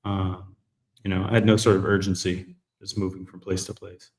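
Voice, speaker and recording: Laid back guy hosting a live talk, lowish voice, kinda echoey. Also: moderate pace. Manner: relaxed, rambling, self-correcting. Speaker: host. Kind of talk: casual live chat.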